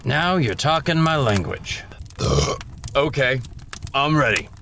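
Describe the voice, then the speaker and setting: A man's voice: medium pitch, expressive, takes it slowly, gravelly, pushed and bright, a little hiss or hum, exaggerated voice. An actor playing a joking father in his fifties on a fishing trip, with an easygoing, teasing manner. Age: 50s. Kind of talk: audio drama acting.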